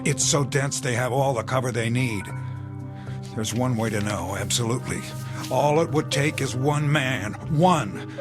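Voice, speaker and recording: Radio drama role: grim, commanding army captain, played by a man, mid-pitched voice, intense and weary, over music. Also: unhurried, lightly acted. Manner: authoritative, intense. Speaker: actor.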